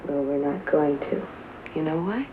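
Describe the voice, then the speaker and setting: An actress playing a tough, bitter young nightclub singer who drinks, with an American accent and a sardonic, drawling delivery, in a 1950s film drama. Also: female voice, low voice, moderate pace, natural voice. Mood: frank, challenging. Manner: sardonic, drawling, dwelling on and repeating words. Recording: tinny, hissy 1950s film soundtrack.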